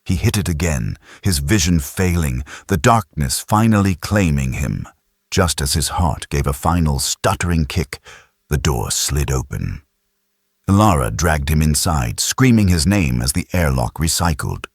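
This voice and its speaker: A man's voice: rumbling low, animated, measured pace, husky, no echo, clean studio sound. A man narrating a sci-fi audiobook in a grave, dramatic, suspenseful storytelling style.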